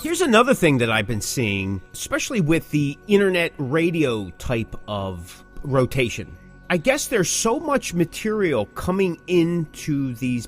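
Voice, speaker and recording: A middle-aged man co-hosting a podcast in casual chat, emphatic and prone to repeating his phrases. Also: a little high. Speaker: podcast co-host.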